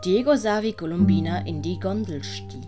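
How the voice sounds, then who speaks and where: A woman's voice: on the low side, animated, moderate pace. A woman reading scripted audiobook narration as the storyteller. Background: music.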